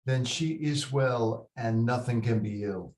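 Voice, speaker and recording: A loyal friend weighed down by sorrow, acted by a man, speaks at a medium pitch in street slang. It is a stage drama read over a video call. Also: unhurried, darkish tone, lightly acted, poor audio. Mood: grave, sorry. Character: loyal, sorrowful male friend bearing bad news. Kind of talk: table read of a stage play, urban street talk.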